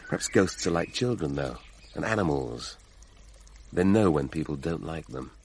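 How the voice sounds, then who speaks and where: A man's voice: very deep voice, lively intonation, measured pace, creaky, darkish tone, slightly rough, exaggerated voice. An actor playing a droll, unflappable older father who muses without hurry in a radio drama. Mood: droll, musing.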